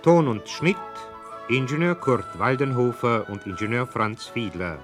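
A man's voice: mid-range voice, unhurried. A man with standard German speech reading formal scripted cast credits as a radio announcer. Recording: announcement over prominent music.

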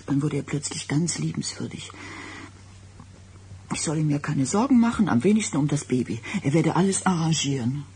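An actress playing an anxious, self-doubting young expectant wife in a German radio drama, speaking in a halting, hesitant way. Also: female voice, sits low, animated, mellow. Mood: agitated, confiding. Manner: hesitant, halting, repeating words. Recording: radio play with background noise.

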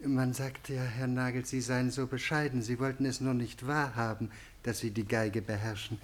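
A man's voice: medium pitch, flat delivery, moderate pace, natural voice. An actor in a German radio play as a humble, downtrodden small townsman, hesitant and apologetic. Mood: apologetic, earnest.

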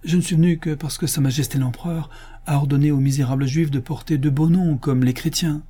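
A humble, thrifty father from a storybook, played by a male narrator. He pleads earnestly and deferentially, in a plaintive voice lifted in pitch and a little husky. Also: fairly even intonation, brisk, dark tone. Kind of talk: audiobook character acting.

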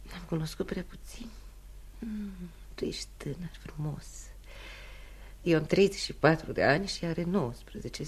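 An actress in a radio play playing a proud, wounded mistress in her thirties, speaking in an intimate, halting, wry way. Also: lowish voice, a little hiss or hum, lightly acted. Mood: wounded, hushed. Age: 30s. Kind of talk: radio theatre acting.

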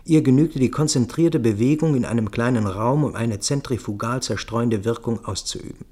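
A man reading scripted literary narration for a radio play in a measured, precise way. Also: mid-range voice, dark tone, husky.

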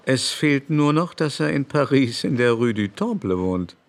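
A man's voice: mid-range voice, expressive, normal speed, gravelly. A man playing a haughty, affected baron in a literary radio drama, with a pompous, declamatory manner. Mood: scornful, indignant. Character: haughty aristocratic baron, affected, brusque and venomously bigoted.

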